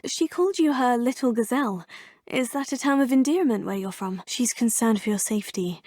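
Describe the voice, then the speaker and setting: A breathy, earnest young witch. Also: fairly high pitch, a little mellow, no room sound, clean studio sound. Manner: polite, formal and composed. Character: earnest young female student witch, polite and determined. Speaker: player character voice. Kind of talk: video game voice acting. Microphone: studio microphone.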